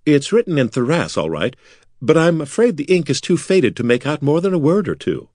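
An eager, naive nobleman from a fantasy storybook, put on by a male narrator. His voice sits high for a man and sounds breathless. He talks with excitable, wide-eyed enthusiasm. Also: brisk, no room sound, studio quality. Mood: eager, excited.

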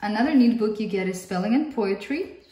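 A woman with a Russian accent talks through children's workbooks at a table, friendly and explanatory, doubling words for emphasis. Her voice sits at an ordinary pitch and carries a roomy echo from a mic set well away from her. A faint hiss runs underneath. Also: lively intonation, a little fast, warm tone, far from the mic. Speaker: homeschooling mother. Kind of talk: casual workbook flip-through review. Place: indoor room at a table.

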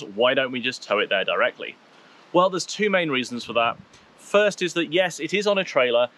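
A man in his thirties, a vlogger, talking outdoors in a wooded clearing and explaining things step by step. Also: mid-range voice, a bit bright. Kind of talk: casual explainer vlog.

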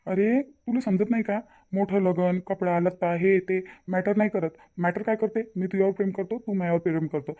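A man plays a devoted young cartoon fiance with a very mellow, dark voice and a Varhadi Marathi accent. He talks quickly and colloquially, repeating himself for emphasis as he reassures and insists. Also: sits high, fairly even intonation, natural voice. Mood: persuasive. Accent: Varhadi Marathi from Vidarbha. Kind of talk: cartoon family drama dialogue.

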